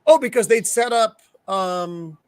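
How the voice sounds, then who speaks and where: An easygoing American man recaps plot points and laughs along with his co-hosts. His voice is a touch high, recorded close and dry. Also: unhurried, a little mellow, clear, resonant tone, dry recording. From United States. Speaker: podcast co-host. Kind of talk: casual group chat.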